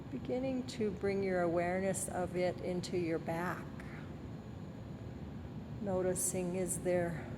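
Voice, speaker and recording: Woman meditation guide, lowish pitch, soothing and gentle, long pauses, clear background noise. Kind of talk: guided body scan meditation.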